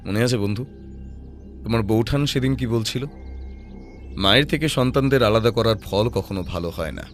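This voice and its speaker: An actor playing a shrewd, composed male detective who reasons in a deliberate, analytical way in an audio drama. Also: lowish voice, soft background music, lightly acted. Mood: deducing, decisive.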